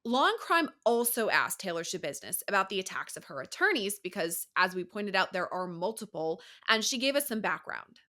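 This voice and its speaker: A woman's voice: mid-range voice, sing-song, a little fast, hardly any pauses, bright, forceful tone. A woman with an American accent narrating a scripted true-crime news report as the reporter.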